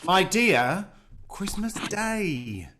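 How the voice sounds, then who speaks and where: A man performs a devout, mild Victorian father in a radio drama. He speaks slowly and gently, with a very mobile melody and a conciliatory temper. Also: high voice, sing-song, slight background noise, exaggerated voice. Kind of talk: amateur radio-play acting.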